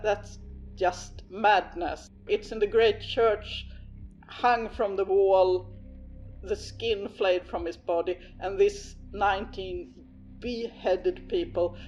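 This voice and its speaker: A man's voice: high-pitched, darkish tone, muffled recording. A Swedish-accented man voicing a harried male police officer who stammers urgently down the phone, over faint music. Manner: stammering, hurried.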